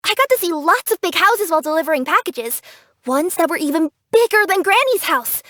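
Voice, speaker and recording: Game role: young cat yokai delivery girl, high-pitched, bubbly, curious and earnest, very dry close studio sound. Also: lively intonation, a little fast, a bit bright, no room sound. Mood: puzzled, musing. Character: cheerful young female cat yokai package courier. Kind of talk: English video game character voice acting.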